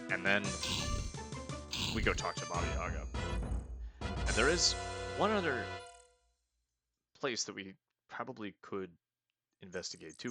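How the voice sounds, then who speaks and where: A man casually commenting on a game he is playing, planning his next moves aloud and often trailing off into soft mutters. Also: sits low, vocal fry. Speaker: let's play host.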